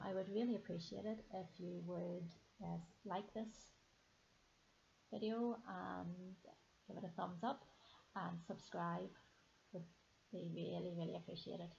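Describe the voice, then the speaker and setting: A hoarse woman with a deep, gravelly fry in her voice talks quietly while she works at a desk. Her tone is mellow and dark, and the camera audio sounds a little dull and lo-fi. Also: slight background noise. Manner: soft-spoken, musing aloud as she works. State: hoarse from a sinus infection. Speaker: planner vlogger. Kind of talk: casual narration while decorating. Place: indoors at a table, camera pointing down at her hands.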